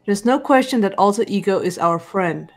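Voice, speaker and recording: A woman playing a composed, serious teenage girl in an anime game fan dub, speaking in a measured, deliberate way. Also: medium pitch, animated, faint music behind, natural voice. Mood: serious, resolute. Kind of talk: visual novel fan dub voice acting.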